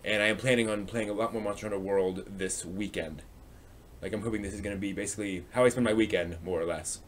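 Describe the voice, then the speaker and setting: A man hosting a casual livestream, rambling and thinking aloud as he chats with viewers in a halting way. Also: on the low side, fairly even intonation, slight background noise. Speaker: live stream host. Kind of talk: casual livestream chat, answering viewers' comments.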